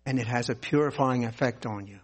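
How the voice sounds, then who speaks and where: A man preaching a sermon, reading scripture aloud and explaining it in a deliberate, earnest way. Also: medium pitch.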